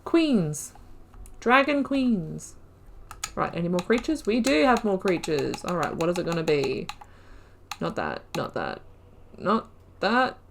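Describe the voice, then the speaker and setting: A woman's voice: lowish voice, sing-song, slow, creaky, pauses often. A woman giving casual, chatty commentary on a game playthrough. She thinks aloud with enthusiasm and reads in-game lore entries aloud. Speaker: gaming channel host.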